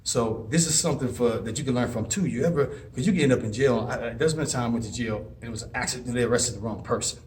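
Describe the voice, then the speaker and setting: Earnest man, mid-pitched, talking advice straight to camera, restarts a lot, faint background hum. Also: fast, hardly any pauses. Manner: earnest, rambling, frequent restarts. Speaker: advice vlogger and former inmate. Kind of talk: casual advice monologue with personal stories.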